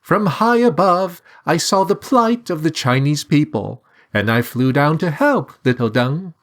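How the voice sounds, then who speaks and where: A man voicing a sly, coaxing talking bird with a high sing-song voice in a storybook reading. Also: expressive, unhurried, a bit bright.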